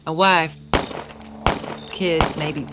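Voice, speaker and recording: A woman's voice: low voice, fairly even intonation, extremely slow, loud music behind the voice. A woman playing a frank, world-weary young mother in an audio comic, candid and rueful. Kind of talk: audio comic dramatic acting.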